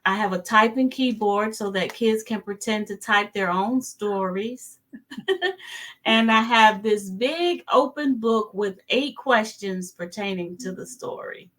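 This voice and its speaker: A mature woman speaking as an interview guest in casual chat, halting and repeating words as she explains. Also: mid-range voice, a bit bright. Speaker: interview guest, children's author.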